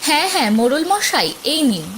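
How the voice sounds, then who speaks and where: A village mother from a cartoon fairy tale, brisk but caring. Her pitch is extremely high and moves a lot. Light rain can be heard behind her. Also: sing-song, a bit bright, a little hiss or hum. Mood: indulgent.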